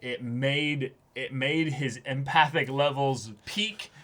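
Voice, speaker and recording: A young man co-hosts a casual discussion in a small room. His voice sits at a middle pitch. He talks in halting, repeated bursts and likes to act out little moments expressively. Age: young adult. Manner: animated, halting and repetitive, acting out moments. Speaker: co-host of an anime reaction show. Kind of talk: casual chat, episode discussion. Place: small indoor room, seated before a backdrop.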